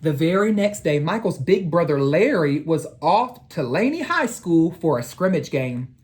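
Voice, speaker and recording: Woman, educator, storybook read-aloud for children, lowish pitch, deliberate, emphatic delivery. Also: very animated, a little mellow.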